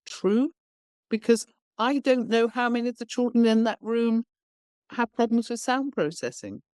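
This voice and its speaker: A woman's voice: medium pitch, darkish tone, no room sound, studio quality. A woman guest on a podcast interview, explaining her views bluntly and emphatically with everyday examples. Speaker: education founder, guest.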